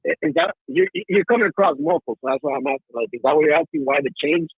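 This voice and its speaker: A man's voice: a little high, rapid-fire, husky, no room sound. A man from Mexico arguing heatedly on a live stream, stammering, repeating words and pressing with "right?". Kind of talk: heated argument. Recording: thin phone-like line.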